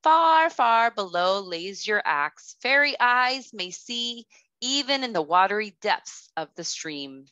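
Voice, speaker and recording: Gentle storybook water fairy lady, read by a woman, kind n slow, bright voice, lilting. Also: on the high side, big pitch swings, no room sound, dull audio, heavily acted. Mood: kind, reassuring. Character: kindly, gentle female water fairy of the river. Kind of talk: children's storybook read-aloud.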